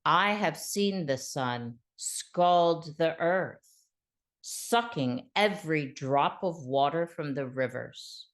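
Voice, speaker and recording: A woman's voice: low-pitched, slow. A woman voicing a grave, argumentative male jackal trickster in a storybook, solemn and emphatic. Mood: grave, warning.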